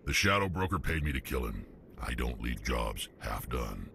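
A man voicing a huge, ruthless alien mercenary in a video game, deep and gravelly, blunt and menacing. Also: big pitch swings, normal speed, sharp tone, slight background noise, full character voice. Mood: grim, menacing.

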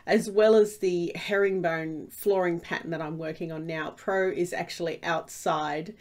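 A woman's voice: on the low side, fairly even intonation, unhurried. A woman with a stuffy cold, rambling through casual commentary over her Minecraft gameplay with lots of fillers and restarts. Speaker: Minecraft let's play host.

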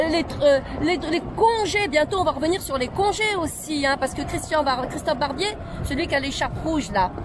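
A woman in her forties from France, speaking in an impassioned, indignant street interview with rapid rhetorical questions, recorded outdoors on a city street with a close handheld camera. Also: sits high. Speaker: protester. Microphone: handheld camera close to face. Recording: loud street crowd and traffic noise. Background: crowd chatter, traffic.

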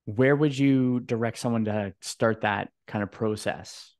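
A man in his thirties hosting a podcast, asking measured questions with some "uh" restarts, into a studio mic in a home room. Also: on the low side, a little mellow. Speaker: podcast host. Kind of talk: interview questions. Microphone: studio mic with headphones.

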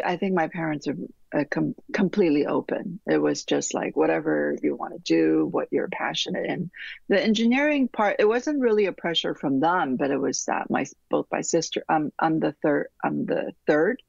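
A middle-aged woman from Taiwan speaks slowly and reflectively, with frequent restarts. Her voice has a gravelly, husky texture. The call audio sounds dry and slightly dull. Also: low-pitched, no room sound. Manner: thoughtful, many restarts and soft trailing fillers. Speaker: architect guest. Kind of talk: reflective interview answers. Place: home room with bookshelves, remote call.

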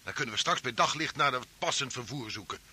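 An actor playing a gruff, hard-nosed criminal who takes charge, brusque and sardonic, in a Dutch radio play. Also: male voice, medium pitch, animated, fast talker, exaggerated voice. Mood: commanding. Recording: sharp old radio recording with clicks.

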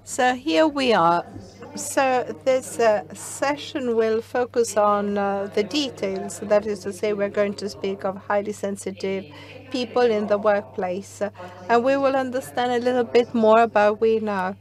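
A woman's voice: medium pitch, steady tone, measured pace. A woman introducing a conference panel session at a table mic, talking hesitantly with fillers. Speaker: session moderator. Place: conference hall.

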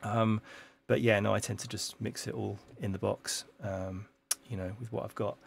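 Gravelly man talking shop in his studio. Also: on the low side, moderate pace, slight background noise. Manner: relaxed and explanatory, with frequent ums and restarts. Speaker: music producer hosting a live stream. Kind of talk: casual live-stream track breakdown. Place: home music studio with keyboard and computer. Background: faint synth music from the session.

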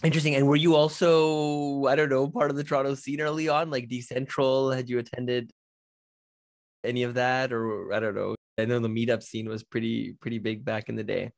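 A man's voice: mid-range voice, level tone, a little fast, creaky. A man in his thirties hosting a casual live interview over a video call, chatty and upbeat, often restarting his sentences. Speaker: podcast host. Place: home studio. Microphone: studio mic on a boom, seen in frame.

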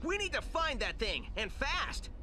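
Cartoon hedgehog hero, youthful, very high for a male, cocky, breezy, animated delivery, game cutscene. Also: unhurried, full character voice. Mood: determined. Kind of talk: animated video game acting.

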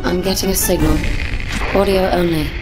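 Witty ship AI, female, crisp reports over score music, voiced by a woman. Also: fairly low pitch, steady tone, moderate pace, natural voice, rough recording. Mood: dry. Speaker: ship's AI. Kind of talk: video game cutscene acting.

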